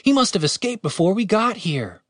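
An earnest young elf adventurer from a fantasy audiobook, voiced by a man. He speaks in a lifted, light pitch with an anxious, hurried manner. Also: sing-song, a bit bright, no room sound, clean studio sound, full character voice.

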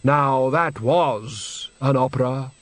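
A man reading aloud and voicing an older male opera director who speaks slowly and wistfully. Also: mid-range voice, dry recording, natural voice. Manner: slow, wistful, nostalgic.

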